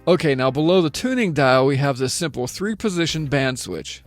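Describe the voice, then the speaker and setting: American guy doing a gear review voiceover, voice a bit high, methodical explainer, light music behind. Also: animated, moderate pace, dry recording. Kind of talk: scripted product review narration.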